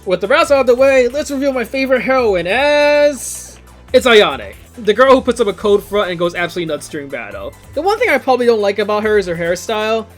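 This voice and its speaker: Young male game reviewer, high lively voice, casual scripted narration with wry asides over music. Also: brisk. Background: background music.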